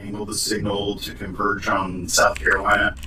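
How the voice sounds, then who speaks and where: A man's voice: fairly low pitch, completely flat delivery, normal speed, natural voice. A man playing a stressed, sweary technician who sounds edgy and accusing, in an audio horror drama. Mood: frustrated, busy. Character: stressed, sweary signal technician with strained loyalties. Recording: roomy, distant, noisy.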